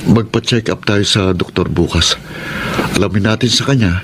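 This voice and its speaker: An actor playing a brooding, evasive young husband in a Filipino radio drama, with dramatic phrasing. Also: male voice, on the low side, moderate pace, background noise, lightly acted. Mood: probing. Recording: music behind the voice.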